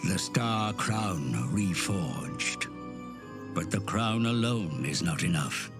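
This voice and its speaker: An actor playing a grave, portentous narrator of an epic fantasy game chronicle, speaking in measured, theatrical phrases. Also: male voice, low voice, expressive. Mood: solemn, grave. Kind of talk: solemn dramatic scripted narration of fantasy game cutscenes. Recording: loud orchestral music behind. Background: loud cinematic game music.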